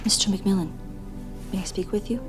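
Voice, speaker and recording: A woman playing a composed, formal hospital doctor in an audio drama, measured and earnest. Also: mid-range voice, over music, lightly acted. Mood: formal, regretful. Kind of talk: dramatic audio play acting.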